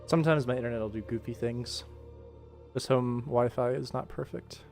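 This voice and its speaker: A man's voice: mid-range voice, steady tone, normal speed, darkish tone, faint music behind. An American man chatting casually on a livestream as he works, sometimes talking half to himself. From United States. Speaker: livestreamer and animator. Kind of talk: casual livestream chat while working.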